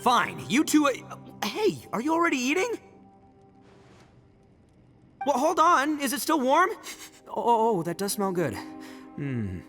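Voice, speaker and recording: A man's voice: very high-pitched, big pitch swings, slow, long pauses, bright, forceful tone, soft background music, exaggerated voice. A man voicing a brash, childish young gang boss in a video game, bombastic and stammering with whiny flair. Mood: grudging, eager. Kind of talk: video game character acting.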